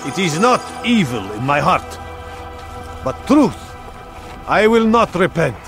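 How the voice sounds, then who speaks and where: A man's voice: fairly high pitch, very animated, slow pace, a bit bright, faint music behind, full character voice. An actor playing an impassioned, defiant traitor who declaims his beliefs in a dramatic video game. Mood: defiant, resolute. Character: impassioned male traitor preaching defiantly to a crowd.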